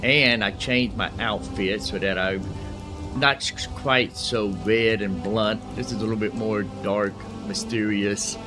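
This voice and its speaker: A man's voice: fairly low pitch, fairly even intonation, unhurried. A man giving casual, rambling gameplay commentary as a streamer, often repeating his phrases. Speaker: gaming streamer. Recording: music behind the voice.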